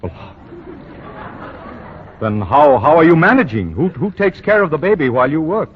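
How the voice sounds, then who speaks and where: A fussy know-it-all young boss. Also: male voice, fairly high pitch, very animated, normal speed, a faint crowd, slight background noise. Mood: teasing. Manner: pompous, stammering, chuckling. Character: fussy self-assured young male store boss. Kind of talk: 1940s radio acting. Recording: dull 1940 radio broadcast.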